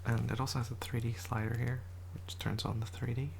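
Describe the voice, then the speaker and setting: An ASMR creator in a quiet house, whispering a soft, rambling show and tell and often correcting themselves, voice a little rough from a cold. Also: medium pitch, little pitch movement, a little fast, slight background noise. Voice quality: whispered, soft-spoken delivery. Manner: rambling, self-correcting, chatty.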